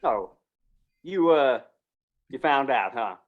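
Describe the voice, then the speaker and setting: A woman's voice: very deep voice, lively intonation, takes it slowly, phone-quality sound, full character voice. A woman acting a flustered, wheedling small-town male undertaker in a 1950s-style Western radio play, stammering in rustic speech. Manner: stammering, pleading, rustic grammar.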